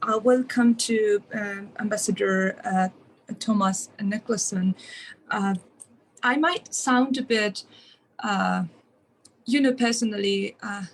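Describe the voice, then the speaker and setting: A woman's voice: medium pitch, slow, slight background noise, low-quality recording. A woman from Afghanistan moderating a panel discussion, speaking hesitantly with many fillers and restarts. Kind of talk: panel discussion, personal remarks.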